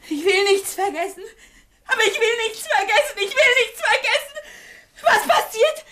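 A woman's voice: very high-pitched, normal speed, pushed and bright, slight background noise. An actress in a German radio play, playing an anxious, frightened woman who speaks in a hesitant, pleading, emotional way. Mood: panicked, tearful. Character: anxious, frightened woman clinging to her companion.

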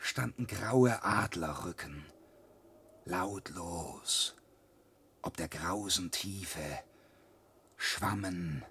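An actor playing a young boastful peasant son who spins wild tales in a classic radio play, swinging from loud to hushed. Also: male voice, low-pitched, very slow, long pauses, a little hiss or hum, heavily acted. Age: young adult.

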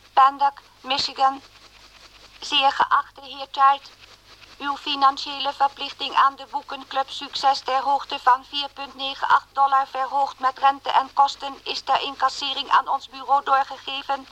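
An actress playing a cold, unyielding debt collection agency, reading formal letters mechanically in a radio drama on a muffled, noisy recording. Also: female voice, high voice, monotone, unhurried, sharp tone. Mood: coldly formal.

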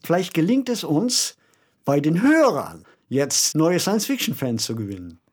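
A man's voice: a little high, sing-song, normal speed, darkish tone, breathy edge. A man co-hosting a podcast, speaking in a conversational discussion style. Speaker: podcast co-host. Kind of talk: conversational discussion of science fiction.

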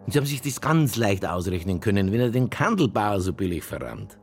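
An actor playing a dogged, sardonic police detective in a radio drama, with a Bavarian accent and a gravelly voice. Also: male voice, fairly low pitch, very animated, a little fast, slight background noise. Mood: accusing. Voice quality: gravelly, husky. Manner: dry, needling, insistent. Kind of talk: naturalistic radio drama dialogue.

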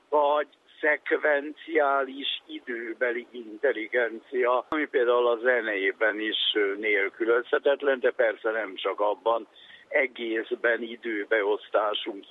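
Guy giving an explanatory talk, kinda didactic, thin phone-y radio sound, bit of hiss. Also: medium pitch. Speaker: education and psychology speaker. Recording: tinny phone-like radio sound, faint noise.

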